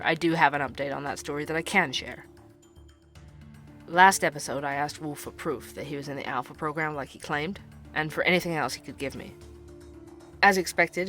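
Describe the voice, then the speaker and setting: An actor playing a young barista who hosts a podcast, narrating in an earnest, wry way. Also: male voice, fairly high pitch, sharp tone.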